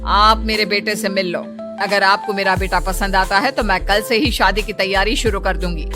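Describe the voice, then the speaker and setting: A woman's voice: mid-range voice, steady tone, normal speed, lightly acted. A woman voicing a bossy, scheming, wealthy mother-in-law in a cartoon story, with a haughty manner. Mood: businesslike, commanding. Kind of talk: Hindi cartoon story dialogue. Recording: music behind the voice.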